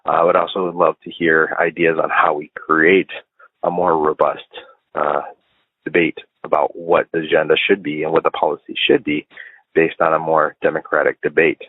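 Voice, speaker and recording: An American man leaving a voicemail as a listener, giving informal political commentary in a measured, halting, deliberative way. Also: extremely low pitch, level tone, breathy edge, dry recording. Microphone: phone. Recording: tinny band-limited phone voicemail line.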